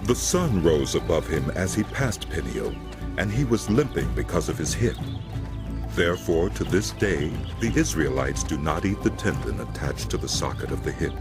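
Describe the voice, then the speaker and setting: Very deep, expressive male Bible narrator. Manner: solemn, measured storytelling. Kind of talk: scripted dramatic Bible narration. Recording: music behind the voice.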